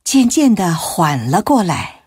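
A woman's voice: fairly low pitch, sing-song, slow, a little mellow, slightly rough, no room sound, studio quality. A woman reading scripted storytelling narration as a narrator of an audiobook.

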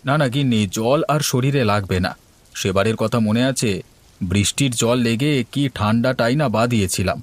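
A man's voice: mid-range voice, moderate pace, lightly acted. A man playing a fretful, hospitable village householder in a cartoon, homely and exclamatory in his talk. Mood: reassuring. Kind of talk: cartoon dialogue.

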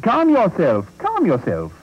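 A man's voice: high voice, wildly swooping pitch, unhurried, husky, lo-fi sound, pitched above the performer's own voice, exaggerated voice. A man playing a fussy, self-important male detective with a prim, ponderous way of talking. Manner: prim, ponderous, murmuring hmm.

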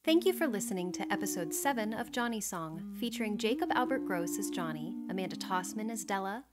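A woman's voice: medium pitch, animated, a little fast. A woman reading scripted credits as an announcer. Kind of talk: scripted narration. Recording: loud music behind the voice. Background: music.

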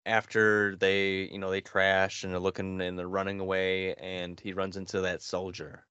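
A man in his thirties chatting casually as a podcast co-host, laid-back and halting, on slightly muffled call audio. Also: low-pitched, flat delivery, sharp tone, clear, resonant tone, no room sound. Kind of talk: casual chat about video games. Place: small home room. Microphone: headset, video call.

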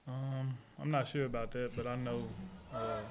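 A man's voice: mid-range voice, flat delivery, normal speed, heavy background noise. A young man, a college basketball player, giving brief, plain answers at a postgame press conference on a table mic. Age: young adult.

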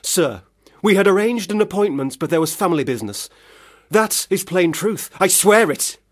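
An actor playing an unstable, self-pitying young Victorian brother, declamatory and erratic, in a period radio drama. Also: male voice, high-pitched, expressive, normal speed. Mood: grandiose pleading.

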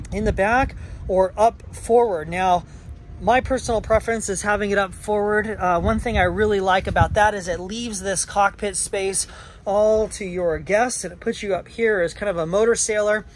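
A middle-aged man, a yacht broker, walks through a sailboat and lists its features in a relaxed way, with lots of "uh" fillers. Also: high voice, background noise. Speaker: yacht broker giving a walkthrough tour. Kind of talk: casual walkthrough narration. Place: aboard a sailboat in a marina, on deck and below. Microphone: handheld camera, first-person view.

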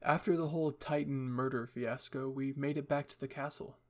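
A man's voice: mid-range voice, steady tone, brisk. A man reading a scripted story in the first person as its narrator. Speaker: fan fiction reader.

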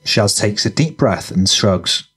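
Male narrator for crime fiction audiobook, deeper register, slightly rough husky voice, scripted storytelling. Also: lively intonation, slow, a bit bright.

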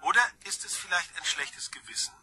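An actor in a radio crime drama playing a mocking, falsely sweet freeloader who needles his brother, with a slightly husky voice. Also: high voice, brisk, bright, forceful tone, full character voice. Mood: mocking, needling.

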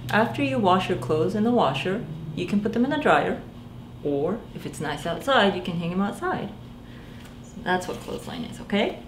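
A woman in her forties, a children's librarian, reading a picture book aloud in a gentle sing-song way and asking the children to guess. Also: lowish voice, roomy sound, slight background noise. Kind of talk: storytime read-aloud for young children. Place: small library room indoors.

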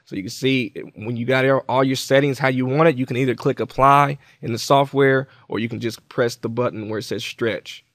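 A man with a mid-pitched voice walks through software in a casual tutorial style. He talks informally and explains each step as he goes. The voice-over is close and dry, with very little room sound. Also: steady tone, a little fast, few pauses, no room sound. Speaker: tutorial presenter.

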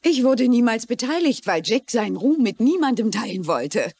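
High-voiced, self-assured businesswoman. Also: sing-song, moderate pace, a little mellow, slightly rough, no room sound, exaggerated voice. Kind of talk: German-dubbed TV mystery drama acting.

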